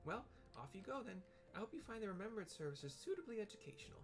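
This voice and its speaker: A man's voice: sits high, big pitch swings, quick pace, soft background music, voice pushed up. A man voicing a small, cheerful young woman helper in a video game, chatty and eager, read aloud from on-screen dialogue. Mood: chirpy.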